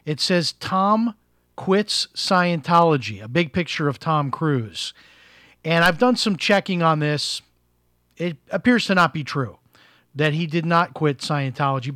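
A man hosting a live talk show, chatting casually with fillers, his voice slightly raspy from a recent illness. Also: fairly high pitch, fairly bright tone, dry recording, clean studio sound. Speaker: host. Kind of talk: live talk radio monologue.

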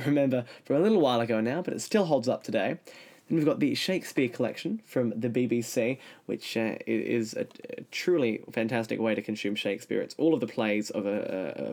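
A man's voice: medium pitch, darkish tone. A man, a podcast host reading out lightly scripted announcements.

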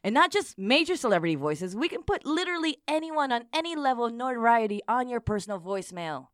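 A woman playing a chipper celebrity spokesperson giving a scripted spoof infomercial pitch. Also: big pitch swings, fast talker, smooth. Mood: salesy.

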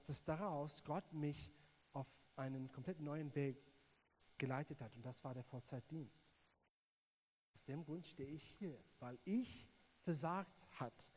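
A man from South Africa, speaking with a South African English accent and a native English speaker, preaching a sermon in an earnest, halting way, often repeating words as he addresses the listener directly. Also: on the high side, a little mellow.

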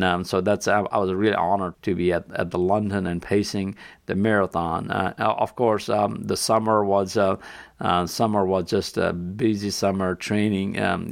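A middle-aged man with a Nepali accent hosts a casual solo podcast in a deep, gravelly voice. He rambles along with many restarts and fillers, chuckling softly now and then. The recording is close and dry. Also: no room sound. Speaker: podcast host and marathon pacer.

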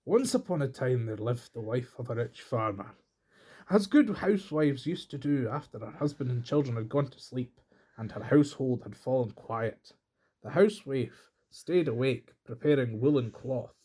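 A man's voice: medium pitch, expressive, normal speed, warm tone, slightly rough. A man reading a folk tale aloud as storyteller, in scripted narration.

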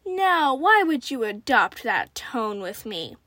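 A smooth-talking young ruffian leads a gang in a western storybook, as a woman reads him. He is light, theatrical and falsely courteous, in a fairly high voice with dry sound. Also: expressive, fast, fairly bright tone, no echo. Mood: smooth, insinuating.

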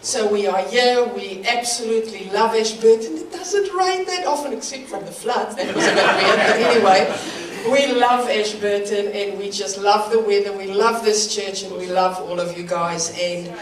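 A woman's voice: medium pitch, moderate pace. A middle-aged woman from South Africa with a South African accent, giving a slightly nervous church sermon with light laughs between phrases. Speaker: guest preacher. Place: church hall. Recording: roomy church hall from far off.

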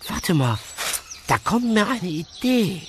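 A man acting in a comedy radio play as an eager, bossy angel scientist who explains his ideas excitedly. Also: high voice, slow pace, background noise, heavily acted. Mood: keen, bossy. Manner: explains excitedly, thinking aloud.

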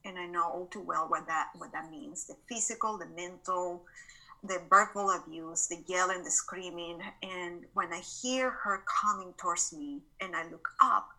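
A bright, clear-toned woman. She is a podcast guest recounting her life in an earnest, reflective manner, often restarting her phrases as she thinks. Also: fairly low pitch, normal speed, low-quality recording. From Venezuela. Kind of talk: personal storytelling in an interview.

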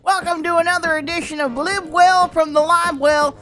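A man's voice: extremely high pitch, steady tone, normal speed, pushed and bright. A man voicing a folksy, joking old male fisherman, rambling and chuckling through a comic tale. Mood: jokey. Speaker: comic segment host.

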